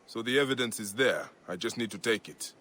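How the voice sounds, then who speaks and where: An actor playing a calm, dry, righteous Egyptian warrior in a video game. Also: male voice, fairly low pitch, brisk. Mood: resolute. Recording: loud music behind voice.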